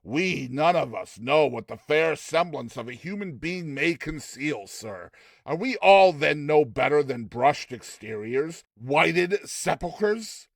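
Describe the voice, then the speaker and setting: A man voicing a suave, smiling magic shopkeeper in a storybook reading, with a smooth, obsequious, showman's manner. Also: a little high, lively intonation, takes it slowly, no echo, heavily acted. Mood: unctuous, musing.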